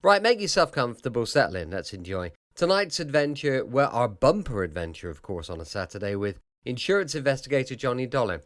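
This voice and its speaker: Male podcast host, lively intonation. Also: mid-range voice, brisk, few pauses, dry recording, clean studio sound. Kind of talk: relaxed chatty late-night podcast introduction.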